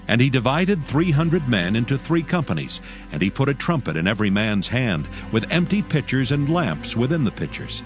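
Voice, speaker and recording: A man narrating dramatized scripture in a formal, measured storytelling manner. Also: mid-range voice, big pitch swings, faint music behind.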